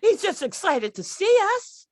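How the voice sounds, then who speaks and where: A sneaky, catty old lady played by a woman in an old-time radio comedy. Her exaggerated delivery is slowish and swoops widely, recorded close and dry. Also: very high-pitched, very animated, dry recording, full character voice. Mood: flustered.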